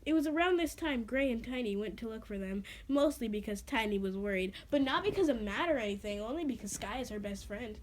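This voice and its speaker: A woman's voice: on the high side, animated, a little fast, few pauses. A woman reading an amateur roleplay story in a playful, theatrical way, with self-mocking asides. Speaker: reader of own creative writing.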